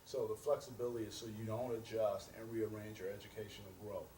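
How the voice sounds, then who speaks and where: A man's voice: fairly low pitch, fairly even intonation, fast talker, dark tone. A man being interviewed as a company representative, explaining things earnestly and promotionally and repeating his key points. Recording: roomy echo, distant mic, faint noise.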